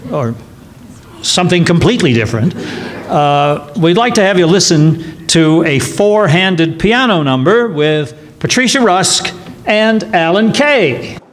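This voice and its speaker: A man hosting a live show with informal introductions and halting, joking asides. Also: high voice, animated. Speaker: show host. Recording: faint background noise.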